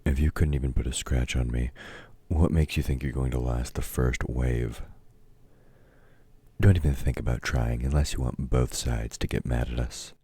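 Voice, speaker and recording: Man acting very deep, husky, domineering villain. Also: steady tone, moderate pace, mellow, no echo. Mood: irritated, threatening. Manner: gruff, mocking, commanding, addressing a meek listener. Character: domineering, taunting male villain, grudging temporary ally of heroes. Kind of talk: intimate audio roleplay, scripted dramatic acting.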